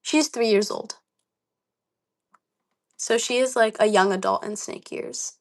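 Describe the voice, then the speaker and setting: A woman's voice: on the high side, unhurried, gravelly. Casual young woman livestreamer, voice a little dry and worn, reading comments and chatting. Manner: chatty, reads comments aloud, many ums and likes. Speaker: livestream host.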